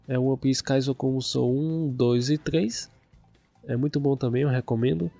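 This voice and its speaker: A hoarse young man with a cold, casually talking through gameplay as a gaming youtuber. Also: mid-range voice. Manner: casual, relaxed chatty narration. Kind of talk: gameplay commentary. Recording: voice over game music.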